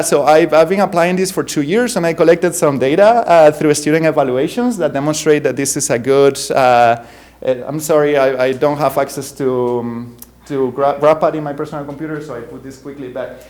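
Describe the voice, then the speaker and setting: Spanish-accented man giving a halting talk. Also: fairly high pitch, a little mellow. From Spain. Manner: halting, frequent fillers and self-corrections, self-deprecating chuckles. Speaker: bioengineering professor giving a keynote. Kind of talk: conference talk with slides.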